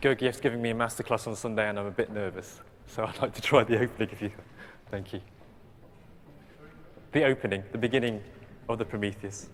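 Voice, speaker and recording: A man's voice: fairly low pitch, steady tone, brisk, long reverb tail, slight background noise. A male conductor, a native Cantonese speaker, rehearsing an orchestra, counting and singing rhythms aloud with polite requests. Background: orchestra playing.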